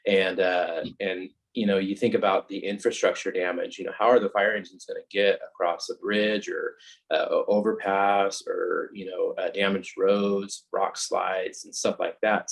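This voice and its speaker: A man from California, a fire captain giving an informal, halting, conversational explanation as guest speaker on a video call. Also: low-pitched, level tone, vocal fry, rough recording. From California, United States.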